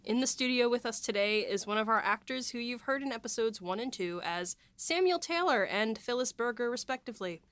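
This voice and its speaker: A woman's voice: fairly high pitch, animated, brisk, few pauses, fairly bright tone, smooth, dry recording. A woman hosting a casual interview podcast, introducing and questioning in a conversational way. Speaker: podcast host.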